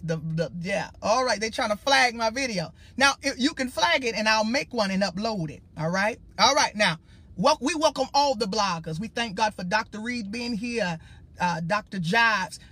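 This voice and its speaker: High-voiced man preaching on a livestream. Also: lively intonation, moderate pace, pushed and bright, husky. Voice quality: high-pitched voice. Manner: emphatic, rambling, addresses viewers directly. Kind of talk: casual livestream talk.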